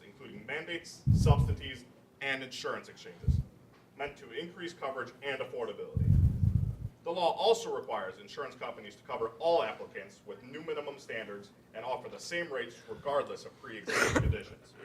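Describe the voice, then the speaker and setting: A young man reading scripted narration aloud as a narrator at a staged reading. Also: medium pitch. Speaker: narrator of a readers theater event. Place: indoor college event space. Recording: echoey, noisy room, distant mic.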